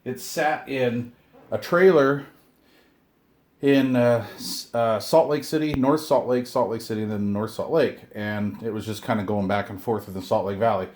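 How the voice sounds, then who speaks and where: A man's voice: mid-range voice, moderate pace, a little mellow. Middle-aged male host for casual unboxing videos; mid-range voice, rambling, chatty delivery with self-deprecating grumbles. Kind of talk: casual unboxing chat to camera.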